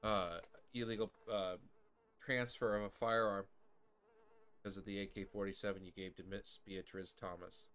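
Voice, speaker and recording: A man's voice: low-pitched, level tone, moderate pace, a bit bright, smooth. A man improvising police role-play as a detective, polite and somewhat hesitant in how he talks. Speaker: streamer playing a police detective.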